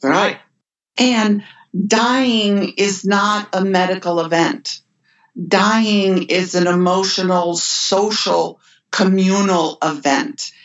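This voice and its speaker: An older woman who works as an end-of-life care nurse, speaking in an earnest, measured way. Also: mid-range voice, steady tone. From United States. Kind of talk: podcast conversation. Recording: dull lo-fi remote audio.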